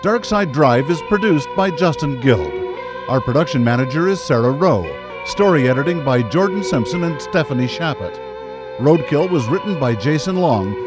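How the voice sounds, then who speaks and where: Guy announcer reading radio play credits, lively swings in pitch, music under him.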